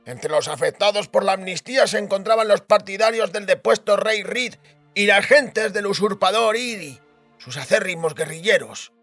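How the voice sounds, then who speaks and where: Man playing a high-voiced old duke, reminiscing. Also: fairly bright tone, dry recording, full character voice. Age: older. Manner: reminiscing, storytelling. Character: frail old duke fondly recalling his royal grandfather. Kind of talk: dramatised audiobook character.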